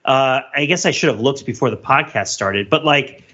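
A man's voice: mid-range voice, moderate pace, pushed and bright, dull audio. A man in a casual, analytical podcast discussion, rambling as he thinks aloud in wordy, intellectual phrases and often repeating himself. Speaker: podcast host.

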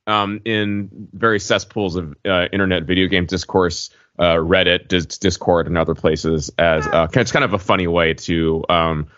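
A man with a fairly low, bright and forceful voice chats casually as a podcast co-host. He sounds playful and wry. The audio is slightly dull and lo-fi. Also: fairly even intonation, moderate pace, hardly any pauses, no echo. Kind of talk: casual chat.

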